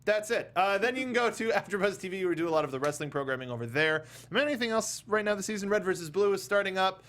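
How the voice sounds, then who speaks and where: A man's voice: high-pitched, animated, fast, a bit bright. A man hosting a casual panel chat, talking in a jokey, rambling way. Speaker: podcast host.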